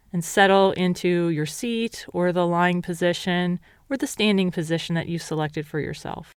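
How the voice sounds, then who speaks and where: A woman meditation teacher leading a guided meditation in a calm, measured, instructional way. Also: fairly low pitch, clear, resonant tone, dry recording, studio quality.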